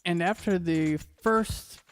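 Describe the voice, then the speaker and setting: A man's voice: high-pitched, takes it slowly, a little hiss or hum. A man hosting a podcast, asking questions in a casual, conversational interview style.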